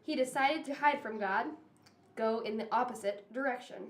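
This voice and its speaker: A woman's voice: a little high, moderate pace, natural voice. A woman playing a curt, impatient servant in an amateur audio drama. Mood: exasperated. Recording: echoey room, far from mic.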